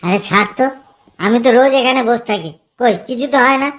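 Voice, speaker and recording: A woman's voice: on the high side, quick pace, a bit bright, close to an everyday voice. A woman voicing a cheeky, foul-mouthed young village man in a cartoon comedy, teasing his drinking friends. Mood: spooky. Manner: crude, teasing, eerie when telling ghost talk.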